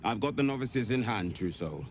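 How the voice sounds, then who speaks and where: A man voicing a gruff male cult officer who speaks curtly and defers to his superiors. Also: on the low side, expressive, moderate pace, a little hiss or hum, close to an everyday voice.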